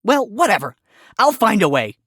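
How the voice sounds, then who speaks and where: A man's voice: high-pitched, very animated, normal speed, a bit bright, no echo, clean studio sound, pitched above the performer's own voice, full character voice. A man voicing a wry, sarcastic fox defense attorney who wisecracks through a fan fiction audio story. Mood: wry, confident.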